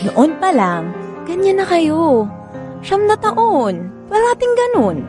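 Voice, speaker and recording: A woman with a Filipino accent playing a frank, caring best friend who gives advice in a radio drama, with expressive, drawn-out emphasis. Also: very high voice, over loud music, heavily acted. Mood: advising, emphatic.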